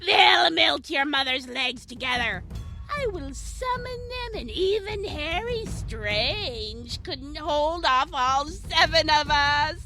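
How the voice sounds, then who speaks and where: A woman playing a malevolent, taunting hag demon in an audio drama, with menacing, mocking, drawn-out theatrical delivery. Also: sits high, animated, sharp tone, faint music behind, exaggerated voice, rough recording. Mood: cruel taunting.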